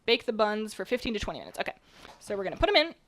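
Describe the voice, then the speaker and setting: A woman's voice: mid-range voice, very animated, rapid-fire, a bit bright, slight background noise. A young woman from British Columbia streaming from her home kitchen, chatting casually and rambling while she bakes and reads chat, her voice worn from a recent cold. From British Columbia, Canada. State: recovering from a cold.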